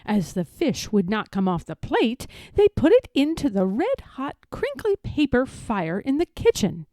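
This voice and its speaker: Lively, high-voiced woman reading a children's story. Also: unhurried, dry recording, clean studio sound. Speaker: storybook reader. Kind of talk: expressive read-aloud of a children's story.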